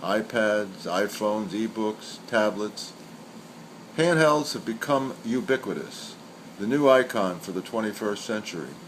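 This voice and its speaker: A man giving a scripted literary reading of short poems and commentary, reciting in a deliberate, measured way. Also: on the low side, rough recording. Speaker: poet reading aloud. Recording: steady background hiss or hum.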